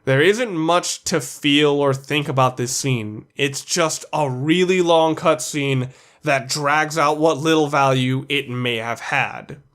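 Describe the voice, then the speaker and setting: Guy hosting a video review, kinda high voice, opinionated and casual, swears a bit. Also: slow, a bit bright. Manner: informal, sweary. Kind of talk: opinionated video essay narration.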